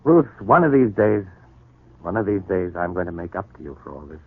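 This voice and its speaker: An actor playing a troubled, guilt-ridden husband in a 1940s radio soap opera, brooding, deliberate and tender. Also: male voice, deep voice, very animated, breathy edge, dry recording, heavily acted. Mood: remorseful, tender. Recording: muffled, narrow 1940s radio broadcast recording.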